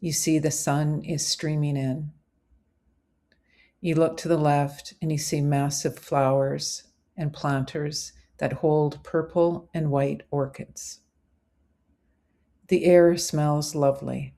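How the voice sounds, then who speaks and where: A woman narrating a scripted guided visualization as a meditation host, in measured, deliberate phrases. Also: sits low, flat delivery, dark tone.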